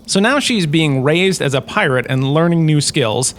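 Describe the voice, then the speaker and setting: A man's voice: fairly high pitch, expressive, normal speed, a little hiss or hum, lightly acted. A man playing a pompous, touchy sci-fi university lecturer, talking in a wry, conversational storytelling way with theatrical indignation. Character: pompous, touchy, chatty male sci-fi university lecturer on famous bounty hunters. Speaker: host, lecturer. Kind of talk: scripted comic in-character lecture.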